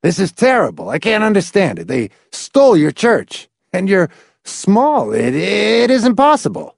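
A man's voice: high voice, huge pitch swings, unhurried, gravelly, no echo, studio quality, voice pushed up, full character voice. A man voicing a desperate grown man who pleads in distress. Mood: pleading. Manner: imploring, distraught.

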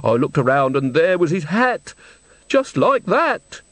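A man's voice: fairly high pitch, big pitch swings, measured pace, a bit bright, no room sound, voice pushed up. A man reading a storybook, voicing a frightened, tearful little old woman who speaks in a fretful, plaintive, sniffling way. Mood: tearful distress.

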